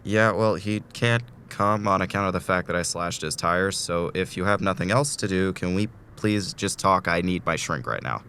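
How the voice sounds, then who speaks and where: A man's voice: sits low, moderate pace, hardly any pauses, bright, forceful tone, slight background noise. A man acting a desperate, tormented patient in a student audio drama, speaking in a weary, urgent, rambling way. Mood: weary pleading. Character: desperate, tormented man trapped in torture loop.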